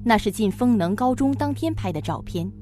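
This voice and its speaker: A woman narrating a suspense audio drama in an expressive storytelling manner. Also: mid-range voice, fairly quick, soft background music, lightly acted.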